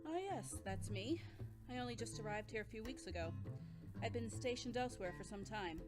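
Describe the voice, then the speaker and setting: A woman's voice: a little high, expressive, brisk, loud music behind the voice, lightly acted. A woman playing a composed military scientist in an audio drama, formal and matter-of-fact in manner. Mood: composed, businesslike.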